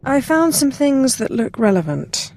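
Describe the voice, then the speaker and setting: An actress playing a composed, imperious female Sith lord in a game, with a dry, quietly menacing manner. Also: high voice, very animated, a little slow, a little hiss or hum, exaggerated voice. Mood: cool, composed.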